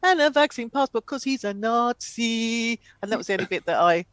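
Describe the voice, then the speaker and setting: A woman co-hosting a comedy chat show, talking casually in rambling, halting explanations. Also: on the high side, expressive, clear, resonant tone.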